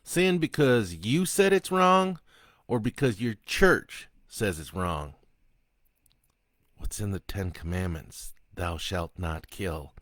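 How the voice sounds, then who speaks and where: A man's voice: on the low side, animated, slow pace, a bit bright, no room sound, clean studio sound. A man voicing a crude, gloating male killer on death row who mocks a priest. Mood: taunting. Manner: taunting, vulgar, mocking.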